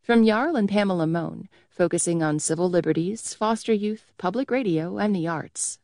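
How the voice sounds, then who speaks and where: A woman's voice: lowish voice, moderate pace, a little mellow, no echo, clean studio sound. A woman reading scripted sponsor credits as an announcer.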